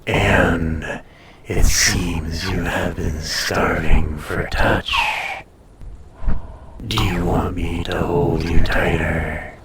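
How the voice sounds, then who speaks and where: A man playing an ancient dragon familiar in an intimate roleplay audio, tender, possessive and teasing, soothing and often dropping to a breathy murmur. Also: low-pitched, flat delivery, drawn-out words, echoey room, far from the mic, over a noisy crowd. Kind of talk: intimate roleplay audio drama, personal attention.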